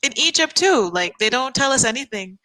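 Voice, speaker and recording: Bright-voiced woman tutor on a video call. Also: sits high, lively intonation, fairly quick, poor audio. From the Bahamas. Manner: friendly, chatty, laughs often. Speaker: online English tutor. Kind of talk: casual video-call chat.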